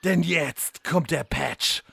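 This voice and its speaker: Portentous demon boss, put on by a man, slow, gravelly, menacing and gloating, fantasy game parody. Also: on the high side, a bit bright, heavily acted.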